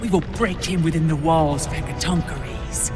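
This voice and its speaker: A woman's voice: deep voice, music in the background, natural voice. A woman playing a cruel, commanding ancient Fury in a video game, with an imperious, taunting manner. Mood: cold, menacing. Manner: imperious, taunting, drawn-out delivery. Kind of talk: dramatic video game villain acting.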